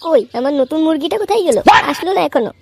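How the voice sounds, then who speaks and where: A woman voicing a sly, sharp-tongued cartoon woman, coaxing and scolding in a comedy dub. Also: high-pitched, expressive, fast, no room sound. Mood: coy, coaxing.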